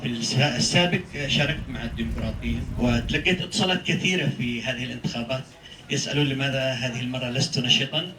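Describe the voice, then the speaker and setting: A man from Yemen giving a halting public statement at a press conference. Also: mid-range voice. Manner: halting, with frequent hesitations. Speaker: human rights lawyer speaking at press conference. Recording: echoey room, distant mic, faint noise.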